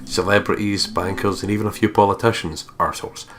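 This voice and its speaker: A man's voice: fairly low pitch, fairly even intonation, quick pace. A man hosting a let's play, reading a visual novel aloud with jokey, sweary asides. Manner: jokey, swears freely, breaks off into ad-libs. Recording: game music behind the voice.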